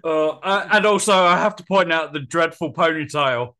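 A man's voice: on the high side, moderate pace, pushed and bright. A man co-hosting a casual film podcast who reels off facts and trivia about the films. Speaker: podcast co-host.

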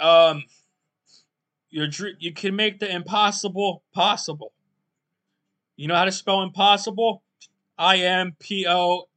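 A man hosting his own podcast in a casual, rambling solo talk, his voice going hoarse and often clearing his throat. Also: high voice, bright, forceful tone. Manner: rambling, loose and self-deprecating, many fillers. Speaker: podcast host.

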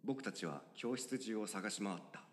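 A man's voice: lowish voice, expressive, moderate pace, natural voice. A man voicing a shy, nervous teenage schoolboy who narrates his own story and stammers when flustered, in an audio drama. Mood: wistful. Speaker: voice actor. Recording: long reverb with music underneath.

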